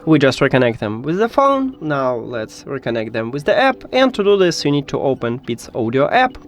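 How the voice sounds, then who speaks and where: Guy doing a how-to tech tutorial, pitch goes up and down a lot, bit halting, faint music. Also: big pitch swings. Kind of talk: step-by-step spoken tutorial.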